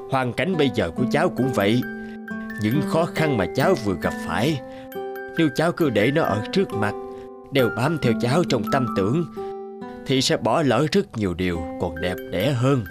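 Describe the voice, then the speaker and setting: Man acting a kindly old grandfather, lively intonation. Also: medium pitch, natural voice. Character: kindly wise old grandfather giving life advice. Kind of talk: cartoon fable acting. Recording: music under the voice.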